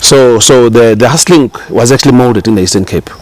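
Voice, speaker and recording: A man's voice: mid-range voice, fairly quick, darkish tone, low-quality recording. A middle-aged man with a South African accent, a chef talking casually as an interview guest, rambling with many fillers and restarts.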